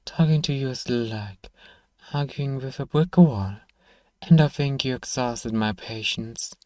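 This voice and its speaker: Man playing a slow-talking, irritable fantasy shopkeeper. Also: medium pitch, darkish tone, dry recording, lightly acted. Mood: exasperated, dismissive. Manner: exasperated, condescending, sighing, dry sarcasm. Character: irritable, haughty, sarcastic male fantasy apothecary shopkeeper. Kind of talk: scripted ASMR roleplay monologue.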